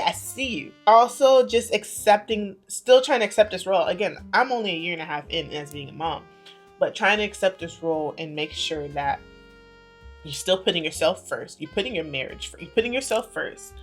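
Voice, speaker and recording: A woman in her thirties chatting casually to camera as a vlogger, playful and confiding, her loudness and pace rising and falling a lot. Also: fairly low pitch. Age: 30s.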